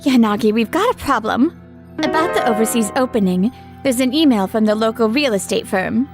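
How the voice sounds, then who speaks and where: A woman's voice: a little high, animated, brisk, over music. A woman playing a worried, businesslike restaurant employee in a comic dub, serious and anxious. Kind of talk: anime-style comic dub acting.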